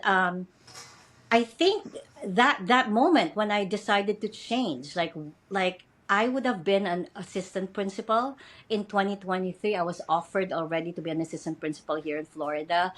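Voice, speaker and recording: A Filipino woman in her fifties for whom English is a second language, guesting on an interview podcast. She speaks earnestly at a middle pitch, often repeating and restarting her phrases as she talks. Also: a bit bright. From Philippines. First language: not English. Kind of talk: interview conversation.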